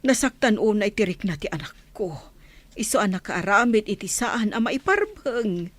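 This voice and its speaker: A woman playing a concerned middle-aged mother in a radio drama, with an Ilocano accent and emotional, expressive delivery. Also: medium pitch, moderate pace, no room sound. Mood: distressed, protective. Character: protective, concerned village mother of a grown daughter. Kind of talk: Filipino radio drama acting.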